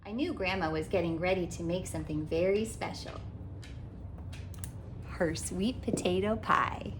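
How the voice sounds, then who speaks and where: A woman hosting a read-aloud as a children's author, narrating a picture book in a deliberate, animated way for young children. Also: lowish voice, very noisy. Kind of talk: expressive children's storybook narration.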